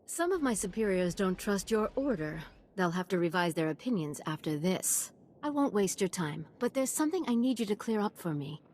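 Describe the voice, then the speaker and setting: A woman's voice: medium pitch, a little mellow, slight background noise, lightly acted. Formal military colonel played by a woman. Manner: formal, clipped, businesslike. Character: formal, fair-minded female army colonel. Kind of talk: video game dialogue.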